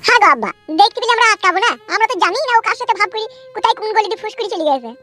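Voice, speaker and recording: A woman voices a young cartoon village daughter with a piercingly high voice. She is plain-spoken and insistent, rattling on very quickly. The sound is dry, with light music underneath. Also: very animated, bright, forceful tone, dry recording, full character voice. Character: young village daughter, scolding and consoling her father. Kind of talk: comic village cartoon dialogue.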